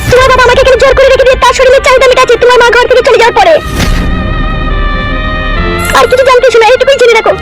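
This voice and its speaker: A man's voice: very high-pitched, little pitch movement, very fast, pauses often, a bit bright. A man voicing a scheming young wife in a comedy cartoon. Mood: defiant. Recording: music under the voice.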